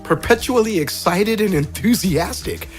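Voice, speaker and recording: A man's voice: sits high, expressive, normal speed, faint music behind. A man acting as game host, casually introducing and reading out character descriptions, often chuckling as he goes. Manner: chuckles through his announcements.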